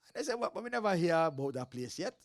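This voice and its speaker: A man in his sixties from Belize, a pastor telling stories in a relaxed interview, animated and quick to laugh. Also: fairly high pitch, dry recording. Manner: animated storyteller, laughs often. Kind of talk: casual interview talk.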